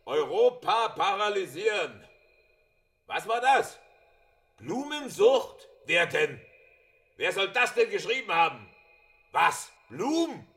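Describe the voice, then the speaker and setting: A man's voice: sits high, sing-song, takes it slowly, long pauses, full character voice. A man acting a brash, coarse newspaper editor barking abruptly into a telephone in a radio drama. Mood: brash incredulity. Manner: loud, abrupt, coarse, incredulous.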